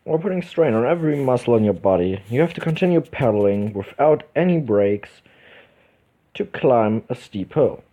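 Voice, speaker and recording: A man from Germany with a German accent hosting a casual let's play, reading visual novel text aloud haltingly and correcting himself as he goes. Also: mid-range voice, animated, warm tone. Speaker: gaming video host. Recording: dull lo-fi microphone, music behind.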